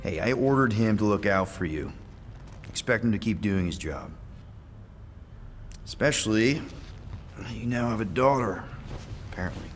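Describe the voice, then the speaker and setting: Cocky, roguish space adventurer, gravelly. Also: male voice, on the low side, animated, moderate pace, long pauses. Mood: wry. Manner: glib, rambling, joking. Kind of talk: cinematic video game acting. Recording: music behind the voice.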